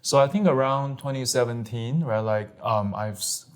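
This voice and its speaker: A young man from Singapore, a guest on an interview podcast, talking in a quick, upbeat way with lots of "like". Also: mid-range voice. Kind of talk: casual interview.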